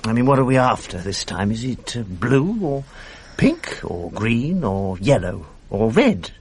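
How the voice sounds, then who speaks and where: Dry, gravelly world-weary British man. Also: medium pitch, big pitch swings, measured pace, a little mellow, heavily acted. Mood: irritable, sarcastic. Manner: dry, wry, literate. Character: Philip, world-weary, irritable, sardonic man narrating his life. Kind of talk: radio drama acting, intimate first-person narration. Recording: faint background noise, archive radio broadcast.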